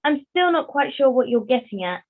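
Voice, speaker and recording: A woman voices a blunt, doubting stuffed toy in a puppet comedy. The voice is high and animated, through thin phone-like call sound. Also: a little fast. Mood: sceptical. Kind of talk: scripted puppet comedy dialogue. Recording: tinny, phone-like online call.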